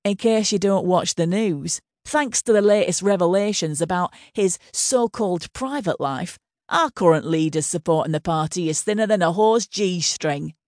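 A woman's voice: fairly low pitch, normal speed, a little mellow, no echo, clean studio sound, close to an everyday voice. A woman voicing a blunt, foul-mouthed Northern English female Home Secretary with a swaggering, crude manner. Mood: scornful. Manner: blunt, crude, teasing then menacing.

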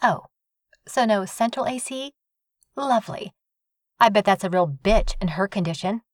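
A man voicing a brash, sharp-tongued young woman neighbor in an audiobook, scolding and sarcastic. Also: high-pitched, lively intonation, moderate pace, no room sound, studio quality, voice pushed up. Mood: indignant. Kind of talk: audiobook reading.

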